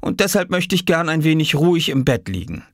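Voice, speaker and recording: An exhausted, unwell mother from a children's storybook, voiced by a man. The pitch is lifted above a man's usual talk, and the manner is weary and pleading, as if she only wants some quiet. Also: very animated, a little fast, dry recording, clean studio sound.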